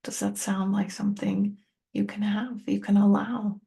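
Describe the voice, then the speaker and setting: A woman leading a guided meditation, speaking gently and unhurriedly to the listener in short, spaced-out phrases. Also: mid-range voice, flat delivery, normal speed, vocal fry, breathy edge. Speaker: meditation teacher and tapping coach.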